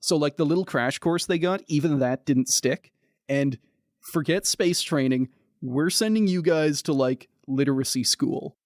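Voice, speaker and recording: A man's voice: on the high side, a little slow, no echo, clean studio sound. A man co-hosting a casual history podcast, telling the story in a laid-back way with lots of fillers. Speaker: podcast co-host.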